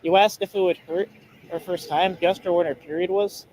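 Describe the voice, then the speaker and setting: A man in an on-the-street confrontation, speaking insistently and repetitively, with some halting starts. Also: sits high, fairly even intonation, a little mellow, low-quality recording. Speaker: citizen investigator confronting a stranger. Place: outdoors in a public place. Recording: outdoor recording with faint ambient noise.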